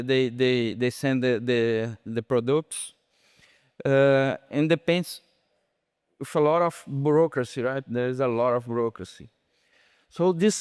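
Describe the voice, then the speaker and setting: A man from Brazil with a Brazilian Portuguese accent, a bank executive answering on a conference panel in his second language, pausing often and searching for words. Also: mid-range voice, darkish tone, smooth, no echo, clean studio sound. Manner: halting, searching for English words, many fillers and restarts.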